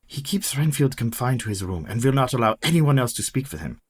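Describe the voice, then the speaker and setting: Older learned vampire hunter, grave, formal, deliberate delivery, medium pitch, radio play. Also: male voice, very animated, breathy edge. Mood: serious, confiding.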